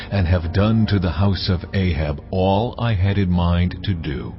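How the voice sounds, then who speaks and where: The deep, solemn voice of God, speaking slow, weighty pronouncements in a dramatized Bible drama. The sound is dull and lo-fi, with loud cinematic music swelling behind it. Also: male voice. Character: the Lord, a deep solemn divine male voice.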